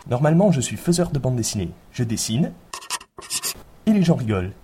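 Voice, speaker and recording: A man's voice: medium pitch, sing-song, a little fast, dark tone, a little hiss or hum, lightly acted. A man playing an eager, jokey cartoonist in a comic scripted promo, speaking casually and playfully over music. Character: young cartoonist pitching himself, jokey and eager.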